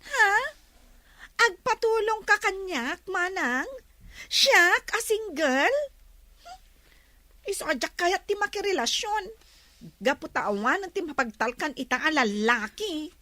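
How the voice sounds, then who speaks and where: Woman acting a slow-talking, high-voiced gossipy friend. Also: lively intonation, pushed and bright, no echo, full character voice. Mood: playful, teasing. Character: teasing, gossipy female friend and confidante. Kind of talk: Filipino audio drama acting.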